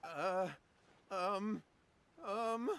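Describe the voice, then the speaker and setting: A man's voice: high voice, very slow, fairly bright tone, clean tone, heavily acted. A man playing a nervous, evasive young village man in a video game, stammering and flustered.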